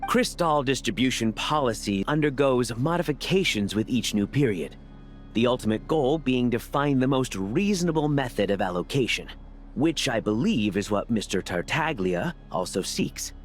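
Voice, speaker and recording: A man's voice: medium pitch, lively intonation, moderate pace, faint music behind, close to an everyday voice. A man voicing a diplomatic official aide in a video game, courteous, formal and explanatory. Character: polite, measured male aide, diplomatic and official. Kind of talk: video game character acting.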